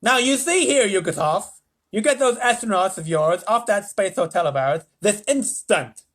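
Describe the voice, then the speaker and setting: Man plays a hot-headed, shouting president, high and animated. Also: moderate pace. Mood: blustering. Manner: yelling, high-pitched, exaggerated. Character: blustering loud male American president.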